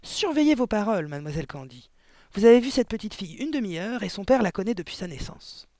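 A man's voice: high-pitched, lively intonation, a little fast, no room sound, studio quality. A man voicing a huge, bullying, spiteful middle-aged headmistress in a storybook, barking scornfully and emphatically. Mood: outraged, bellowing.

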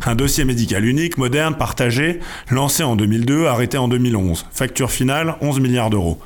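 A man's voice: medium pitch, lively intonation, brisk. A man reading a scripted radio column in terse, clipped phrases. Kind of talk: scripted commentary.

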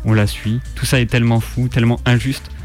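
A man's voice: medium pitch, flat delivery, fairly quick, darkish tone. A man reading a first-person story as dramatic scripted narration. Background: music.

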